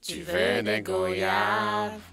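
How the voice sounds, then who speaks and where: Consoling cartoon chanter, super slow, loud music behind. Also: female voice, medium pitch, level tone, creaky, full character voice. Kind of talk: children's cartoon dubbing.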